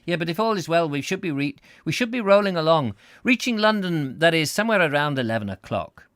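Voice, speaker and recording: A man acts out a fussy, fond Scottish husband from a storybook, pitched high and lively. The accent wobbles as he chats on, hesitating and correcting himself as if on the telephone. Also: a little fast, dry recording, clean studio sound. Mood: hesitant, fussy. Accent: Scottish, wobbly put-on. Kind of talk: storybook character reading.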